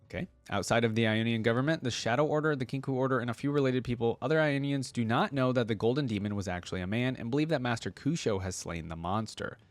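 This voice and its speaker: A man's voice: medium pitch, fast, few pauses, no echo. A man hosting a reaction video, reading aloud and musing casually with interjections.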